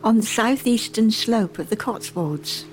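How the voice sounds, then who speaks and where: A woman's voice: on the high side, lively intonation, measured pace, faint music behind. A woman acting in a radio play as an earnest, proud local campaigner. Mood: poetic, measured. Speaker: community theatre actor.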